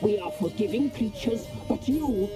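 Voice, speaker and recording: A woman's voice: mid-range voice, animated, normal speed, music in the background, lightly acted. An actress playing an imperious, sinister witch crone of the woods in a video game, commanding and theatrical. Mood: stern menace. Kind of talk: video game cutscene acting.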